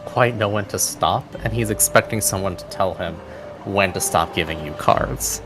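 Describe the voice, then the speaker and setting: Low-voiced man narrating a tabletop game. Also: normal speed. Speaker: game master. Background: background music.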